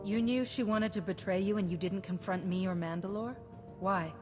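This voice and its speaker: A woman's voice: mid-range voice, normal speed, over music, lightly acted. An actress playing a composed, serious female military adviser in a video game. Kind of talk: dramatic video game acting.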